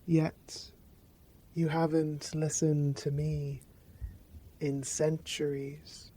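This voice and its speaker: An actor voicing the sea as an ancient, reproachful being in a radio play, speaking in measured, halting phrases. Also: medium pitch, flat delivery, dark tone, husky. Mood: reproachful, calm. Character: the Irish Sea, ancient, slow, reproachful body of water.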